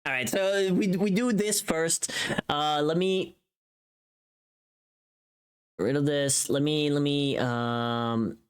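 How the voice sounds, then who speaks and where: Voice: medium pitch, steady tone, slow, pauses often, clear, resonant tone. A livestream host chatting casually, rambling and thinking aloud to themselves.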